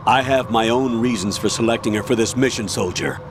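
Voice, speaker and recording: An actor playing a stern, authoritative older military colonel in a video game, commanding, measured and formal as he gives a mission briefing. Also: medium pitch, a little hiss or hum, natural voice. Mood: firm, persuasive.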